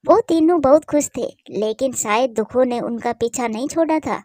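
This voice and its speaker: A woman's voice: sits high, moderate pace, dark tone, no room sound, clean studio sound. A woman reading scripted narration for a children's cartoon story.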